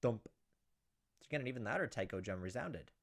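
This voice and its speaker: A man's voice: mid-range voice, lively intonation, normal speed. A man reading a visual novel aloud as a let's play host, with casual, crude joking asides.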